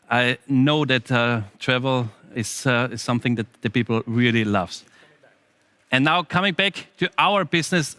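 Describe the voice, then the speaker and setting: Man, event host, bright forceful voice, slightly high pitch, halting business presentation. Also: dry recording. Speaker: show host.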